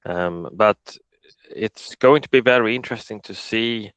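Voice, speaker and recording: A man from Sweden chatting casually on a panel, halting, with many restarts. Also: fairly low pitch, fairly bright tone, clean tone, dry recording, low-quality recording. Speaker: conference speaker. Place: Netherlands.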